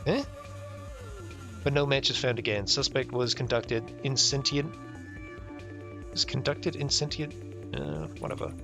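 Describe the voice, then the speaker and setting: A man's voice: medium pitch, measured pace, pauses often. A man streaming a visual novel, reading the text aloud with irreverent, offhand asides. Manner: irreverent, ad-libs jokes and mutters reactions. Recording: music playing behind the voice. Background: game music.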